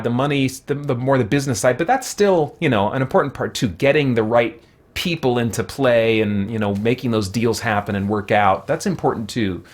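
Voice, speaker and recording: A man giving casual, rambling gaming commentary, thinking aloud in a thoughtful, halting way. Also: mid-range voice. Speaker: host of a roleplay game playthrough.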